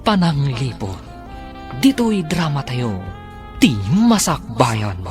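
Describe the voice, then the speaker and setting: A man announcing a radio drama series, formal and measured in his scripted delivery. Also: medium pitch, big pitch swings. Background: music.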